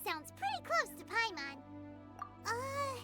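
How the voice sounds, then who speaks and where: A woman's voice: very high-pitched, big pitch swings, slow, pushed and bright, heavily acted. A woman voicing a tiny, childlike, eager fairy companion in an anime video game. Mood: chatty, curious. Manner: speaks of self in third person, perky. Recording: game music behind the voice.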